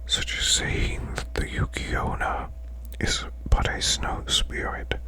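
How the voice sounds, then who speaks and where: A man voicing a demon boss in a breathy, growling whisper, reading folklore slowly as soothing bedtime ASMR. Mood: hushed, soothing. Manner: slow, hushed, deliberate recitation. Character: male demon butler's boss, growling softly, soothing storyteller.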